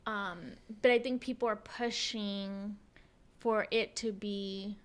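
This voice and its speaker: A woman's voice: mid-range voice, steady tone, slow pace. An American woman co-hosting a podcast in a casual, informal chat. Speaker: podcast co-host.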